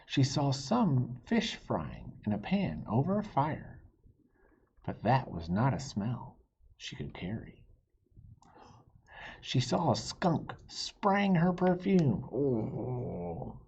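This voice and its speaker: A man reading a children's picture book aloud in a teacherly way, stopping to ask his young listeners questions, in a husky voice. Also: mid-range voice, wildly swooping pitch, slow pace, darkish tone, dull audio. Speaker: read-aloud storyteller.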